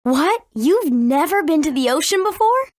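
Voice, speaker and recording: High-pitched spirited teen girl. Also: very animated, normal speed, dry recording. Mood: upbeat. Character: spirited, informed teenage girl adventurer. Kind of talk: video game voice acting.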